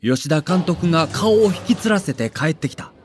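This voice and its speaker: A man narrating a scripted documentary in a dramatic, measured storytelling manner. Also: fairly high pitch, animated, slight background noise.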